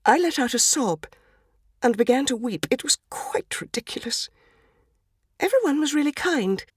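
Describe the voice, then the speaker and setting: Woman acting naive English housewife, period storybook monologue, wistful, tearful, lively intonation, husky edge, dry studio sound. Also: high-pitched, moderate pace, no echo, voice pushed up. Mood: wistful, genteel. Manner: genteel, wistful, earnest. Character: prim, tearful, naive 1940s English housewife confiding in her husband. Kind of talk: dramatic first-person short story reading.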